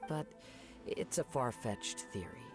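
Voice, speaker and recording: An actor playing a calm, thoughtful young wandering samurai in a video game, speaking formally and with measured care. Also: male voice, medium pitch. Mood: pondering. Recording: game music behind.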